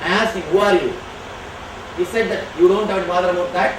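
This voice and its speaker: A man whose first language is Tamil, answering audience questions as a spiritual teacher, speaking slowly and emphatically. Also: high voice, steady tone. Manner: slow, emphatic and declarative. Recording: echoey hall from far off, noisy.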